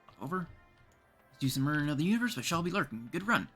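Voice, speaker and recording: A man's voice: a little high, sing-song, very fast, fairly bright tone, husky, faint music behind. A man with a Canadian accent, chatting casually as a livestream host and thinking aloud while he plays.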